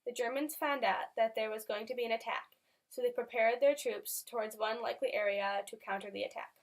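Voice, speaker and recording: A woman narrates a historical newsreel in a moderately high voice. She is reading prepared lines as a scripted report. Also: fairly even intonation, a little fast.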